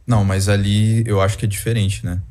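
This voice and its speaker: A man's voice: on the low side, little pitch movement, a little fast, a little mellow. A man reasoning aloud in a hesitant, casual way during a discussion.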